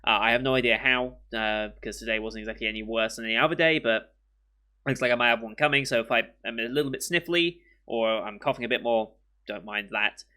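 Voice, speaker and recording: A young British man hosting an anime reaction channel, chatting casually and rambling with many pauses and restarts, a bit sniffly from a cold. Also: mid-range voice, bright, forceful tone. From Britain. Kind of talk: casual chat to camera.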